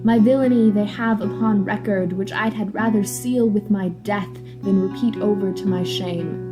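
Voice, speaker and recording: A woman playing a remorseful villain in a Shakespeare radio play, speaking in an earnest, measured, contrite way. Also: mid-range voice, steady tone, lightly acted. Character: remorseful villain confessing, a man in the story. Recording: plucked guitar music behind.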